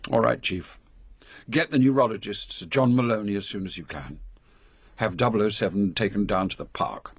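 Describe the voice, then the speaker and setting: A ruthless older British intelligence chief for a radio drama. His voice is low and husky, with a gravelly edge. He gives orders in a clipped, commanding, formal way. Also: moderate pace, no echo, clean studio sound. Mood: brisk, commanding. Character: authoritative older male British spy chief, brisk and ruthless.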